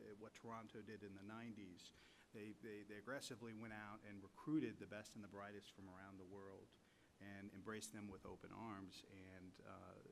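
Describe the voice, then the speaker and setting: A man speaking on a panel in a confident, deliberate way. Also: on the low side, a little hiss or hum, poor audio. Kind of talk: panel discussion.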